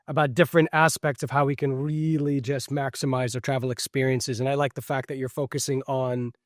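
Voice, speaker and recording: A man's voice: mid-range voice, level tone, brisk, no room sound, studio quality. A middle-aged man born in Switzerland, a podcast guest answering questions in a reflective, conversational way with many fillers and restarts.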